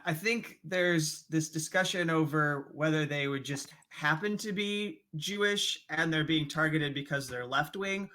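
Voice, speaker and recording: A man co-hosting a live interview show in a halting, hesitant manner. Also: fairly high pitch, pushed and bright. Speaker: show co-host.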